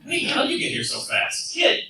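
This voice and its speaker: A man's voice: extremely high pitch, wildly swooping pitch, moderate pace, gravelly, background noise, heavily acted. An actor playing cocky, wisecracking teenage boy superheroes with a glib, bantering manner in a radio play. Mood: playful, cocky. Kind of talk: radio play acting before a live audience. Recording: very echoey hall fan recording, distant.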